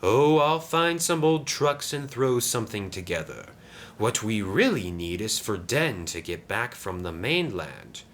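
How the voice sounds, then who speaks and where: A man's voice: on the low side, big pitch swings, a little slow, slight background noise, pitched above the performer's own voice. A man voicing a cross, scheming male diesel engine in a children's story, curt and sly.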